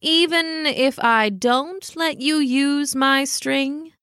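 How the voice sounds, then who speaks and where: A woman voicing a tatty, aloof female cat in a storybook, purring slowly and warily. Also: high-pitched, a bit bright, no room sound, clean studio sound, pitched above the performer's own voice. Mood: wary, surprised. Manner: slow, purring, wary. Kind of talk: storybook character voice.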